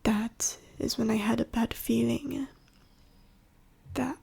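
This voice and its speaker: Casting: woman to play an ancient dying she-dragon for ASMR roleplay; whispery, husky, slow and halting, gentle and sorrowful. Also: fairly high pitch, steady tone, full character voice. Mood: grieving, weary. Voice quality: breathy, whispery, raspy. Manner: halting, slow, solemn storytelling. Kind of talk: ASMR audio roleplay monologue to the listener.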